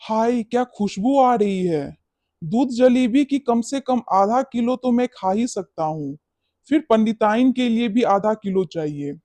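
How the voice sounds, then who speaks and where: A man's voice: high voice, lively intonation, unhurried, mellow, dry recording. A man playing a greedy, glib and bossy village priest in a cartoon bedtime story, scolding and cajoling. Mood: greedy relish. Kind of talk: animated bedtime story character acting.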